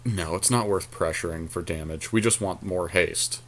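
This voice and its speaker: A man's voice: sits low, moderate pace. A man giving casual gameplay commentary as a podcast co-host, thinking aloud through tactical options. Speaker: podcast co-host and card game player.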